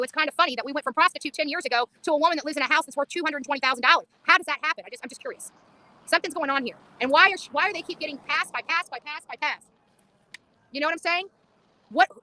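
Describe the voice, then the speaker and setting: Fast, high-voiced woman on a street livestream. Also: pushed and bright. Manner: insistent, repeats phrases for emphasis. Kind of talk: live street commentary, impassioned monologue. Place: outdoors on a residential street.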